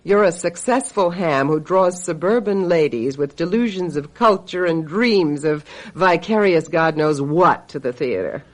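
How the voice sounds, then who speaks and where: Female agent role for radio play: bitter, cutting, sardonic, highly varied pitch. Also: lively intonation, unhurried, few pauses, rough recording. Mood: stern, scornful. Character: sharp-tongued, embittered female talent agent, secretly a witch. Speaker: actor in a radio drama. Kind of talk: radio drama acting.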